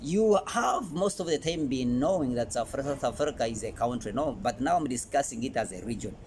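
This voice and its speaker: A man's voice: medium pitch, expressive, fairly quick, darkish tone. Emphatic Ugandan man over background noise. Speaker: presenter of an educational channel. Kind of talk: informal educational presentation to camera.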